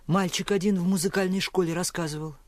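An actor in a radio play voicing an earnest, gentle schoolboy who explains things eagerly. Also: mid-range voice, fairly even intonation, fast talker, dry recording, natural voice. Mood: agreeable. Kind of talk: Russian radio play acting.

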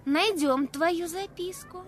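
Very high-voiced, teasing acrobat girl. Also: slow pace. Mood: teasing, amused. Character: teasing young acrobat girl, playful and coy. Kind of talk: Russian radio play acting.

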